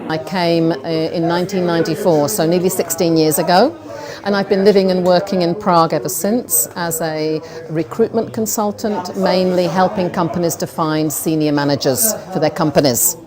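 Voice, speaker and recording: A woman who grew up speaking Czech at home, a recruitment consultant speaking in a measured way with frequent ums in a documentary interview. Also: fairly low pitch, steady tone. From England. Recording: busy crowd noise behind.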